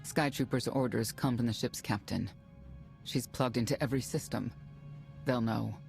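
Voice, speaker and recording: A very deep female voice with a heavy creak as a seasoned game-cutscene soldier. She is stern and dry, giving terse, grave lines. Music is clearly audible underneath. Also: normal speed, a little mellow. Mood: grave briefing. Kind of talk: video game cutscene acting.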